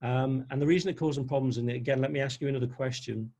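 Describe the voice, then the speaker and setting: A man's voice: medium pitch, steady tone, fast, mellow. A man with a Liverpool accent giving a chatty live webinar as an NLP trainer, reading out audience answers as he goes. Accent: Liverpool (Scouse). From Liverpool, England.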